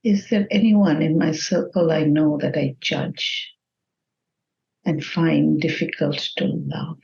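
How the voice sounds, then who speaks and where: A woman leading a guided meditation in a gentle, measured manner with long pauses between phrases. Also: sits low, steady tone, creaky, highs cut off.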